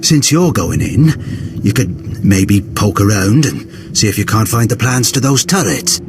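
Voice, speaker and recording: A man's voice: fairly low pitch, very animated, a little slow, background noise. A man playing a self-important military field operative in a video game, talking in a folksy, colloquial way. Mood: wary, scheming. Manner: colloquial, clipped asides. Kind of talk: video game character acting. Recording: music underneath. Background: game music.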